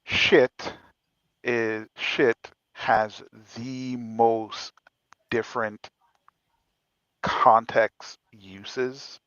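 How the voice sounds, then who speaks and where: A man with a smooth, resonant voice, explaining at length in a relaxed chat. He stretches some words out as he goes. The sound is dry and a bit dull, like a basic lo-fi mic. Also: mid-range voice, no echo. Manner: explains at length, drawn-out phrases. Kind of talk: casual chat.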